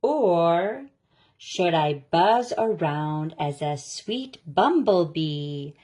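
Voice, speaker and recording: A woman reading a children's picture book aloud, with a deliberate, expressive storytelling delivery. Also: lowish voice. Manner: slow, deliberate, expressive delivery of rhyming lines. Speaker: story reader.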